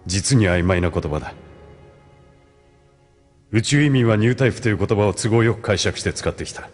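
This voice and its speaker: Guy acting as a stern lecturer type, really deep voice, formal and measured, audio drama. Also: soft background music, close to an everyday voice. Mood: solemn, didactic.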